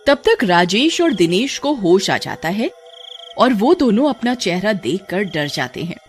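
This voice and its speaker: Lively cartoon story narrator. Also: mid-range voice, a little slow, loud music behind the voice. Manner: steady storytelling.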